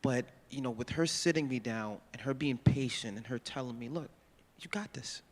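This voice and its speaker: A man's voice: mid-range voice, moderate pace. A man from Ghana on a live panel, telling earnest stories about his mentors and quoting them firmly. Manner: earnest storytelling, quotes mentors in a firm voice. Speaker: panelist, musician and volunteer mentor. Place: large hall. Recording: long hall reverb from a live panel.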